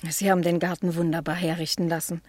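An actress plays a sheltered, naive spinster niece in a 1960s radio drama. Her mellow voice is breathy and veiled, recorded dry. She sounds shy, hesitant and a little wistful. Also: fairly low pitch, flat delivery, fast talker, dry recording, lightly acted. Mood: shy, pleased. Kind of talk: 1960 German radio play acting.

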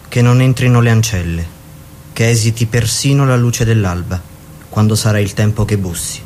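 A man's voice: fairly low pitch, darkish tone, slight background noise, close to an everyday voice. An actor playing an ardent, poetic suitor seducing a queen, intimate and commanding, in a radio drama. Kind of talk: theatrical radio drama monologue.

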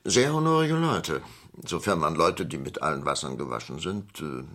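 An older man with a warm, dark, raspy baritone, playing a rich, sly, courteous shipowner in a 1980s radio crime comedy. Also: animated, normal speed, exaggerated voice. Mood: sly, self-assured. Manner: hesitant, courteous, theatrical.

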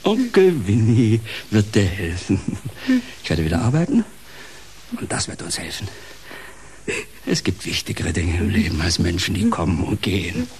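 An actor playing a volatile, self-pitying uncle in a radio drama, theatrical and erratic, swinging between pleading and ranting. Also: male voice, lowish voice, huge pitch swings, normal speed, a little mellow, a little hiss or hum. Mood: tender, resolute. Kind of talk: German radio drama acting.

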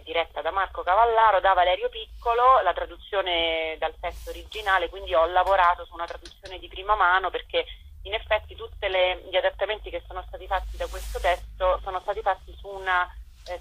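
Husky, bright-voiced woman in interview. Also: on the low side, rough recording. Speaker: guest, theatre writer and director. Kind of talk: radio interview.

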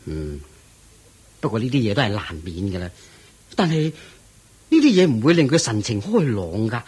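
A man playing an anxious, commanding king and father in a Buddhist radio drama, his voice husky. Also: lowish voice, sing-song, normal speed, a little mellow. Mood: uneasy, pondering.

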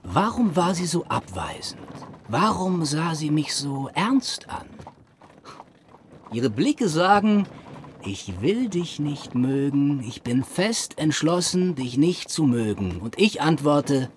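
Conceited young gentleman with animated delivery. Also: on the high side, slow, slight background noise. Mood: musing, self-assured. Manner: self-assured, theatrical, playful phrasing. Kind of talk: period literary radio drama.

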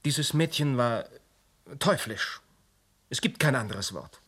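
A reserved, dignified man answering police questions in a radio drama. He speaks in a measured, guarded way, weighing each word. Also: mid-range voice, lively intonation, a bit bright, breathy edge, heavily acted. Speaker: suspect Monsieur Cernik. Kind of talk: radio play acting.

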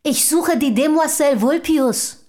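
Eager self-assured young rich lady in a radio play, highish voice, formal and articulate. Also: unhurried, warm tone. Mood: polite, insistent.

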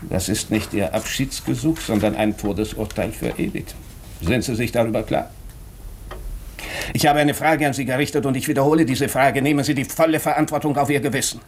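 An actor playing an earnest, probing doctor in a German radio drama, speaking with deliberate, morally insistent urgency. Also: male voice, mid-range voice, slight background noise, lightly acted. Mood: stern.

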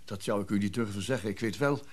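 A man's voice: lowish voice, quick pace, lightly acted. A man playing a formal, discreet notary in a Dutch radio play. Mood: formal, measured. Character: formal, discreet male notary reporting to a client.

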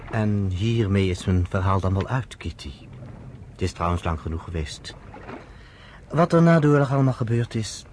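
Male actor, lowish pitch, as persuasive, companionable narrator figure in radio drama; slight noise in recording. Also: a little mellow, lightly acted. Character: earnest storyteller, a persuasive, companionable Zionist man. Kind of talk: Dutch radio drama acting, storytelling.

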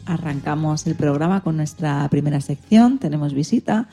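A woman's voice: deep voice, moderate pace, over loud music. A woman hosting a radio program, presenting from a script.

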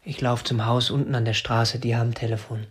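A man's voice: mid-range voice, level tone, fairly quick, husky, no echo, clean studio sound, close to an everyday voice. An actor playing a meek, stiff kidnapper in his twenties in a radio drama, obsessive and self-justifying, in a plain, subdued manner. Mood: worried, agitated. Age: 20s. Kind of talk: radio drama acting, confessional first-person narration.

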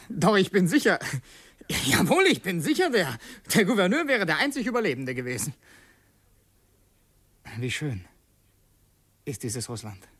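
An actor playing a tense young revolutionary in a German radio drama, brooding, halting and fervent, as if confessing a secret plot. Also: male voice, a little high, breathy edge, no room sound, heavily acted. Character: tense young revolutionary man secretly plotting against his host.

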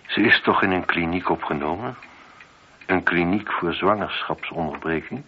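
Casting: male actor as methodical police inspector for radio drama, low voice, probing and courteous; muffled, noisy old recording. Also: natural voice. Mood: measured, accusing. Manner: measured, probing, politely insistent. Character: shrewd, methodical male chief police inspector confronting a suspect. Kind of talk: radio play dialogue.

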